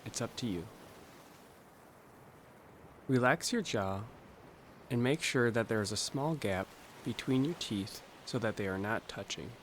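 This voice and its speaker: Calm man guiding a meditation. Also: mid-range voice, a little hiss or hum. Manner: calm, gentle, instructional with pauses. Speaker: guided meditation narrator. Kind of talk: scripted guided meditation.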